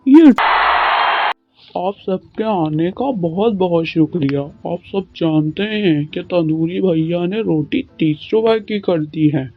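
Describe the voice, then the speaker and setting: A man playing a sociable village merchant in a cartoon story, speaking in a polite, measured manner. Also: high voice. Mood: addressing villagers. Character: village merchant, sociable male community spokesman. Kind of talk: Urdu moral cartoon story dialogue. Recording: dull lo-fi sound, music bed.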